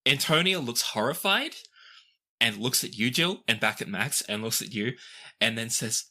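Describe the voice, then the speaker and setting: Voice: mid-range voice, lively intonation, normal speed, sharp tone. A game master narrating an improvised tabletop game.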